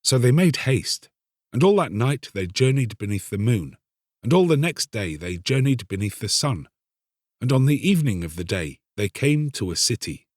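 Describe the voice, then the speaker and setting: A man narrating a literary tale as a scripted audiobook, solemn, deliberate and theatrical. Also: medium pitch, sing-song, dry recording, clean studio sound.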